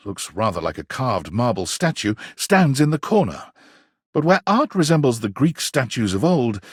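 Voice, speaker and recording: A male narrator reads scripted video game scenes with dramatic flair. His mid-pitched voice is husky and moves up and down in a lively way. The sound is dry and close. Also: dry recording.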